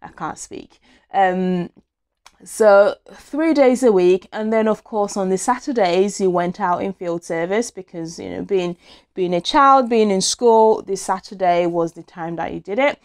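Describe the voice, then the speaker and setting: A young woman from Nigeria talks straight to camera in a small room, casual and personal, often stopping to rephrase herself. Her voice sits in a middle register and comes through close and clear from a lapel mic. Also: mid-range voice, measured pace. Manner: chatty and open, with fillers and self-corrections. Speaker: vlogger. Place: small indoor room against a plain wall. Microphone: small clip-on lapel mic.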